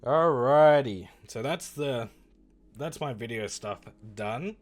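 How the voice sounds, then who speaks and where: A man streaming and chatting casually about games, rambling and correcting himself, with his voice going hoarse. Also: medium pitch, slow. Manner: rambling, self-correcting, lively. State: losing his voice. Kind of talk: casual livestream chat reviewing games.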